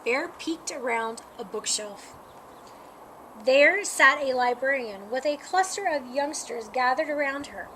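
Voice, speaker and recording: A woman narrates a picture book for children in a high, bright voice. Her reading is expressive and unhurried, with some noise behind her. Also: poor audio. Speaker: storybook reader.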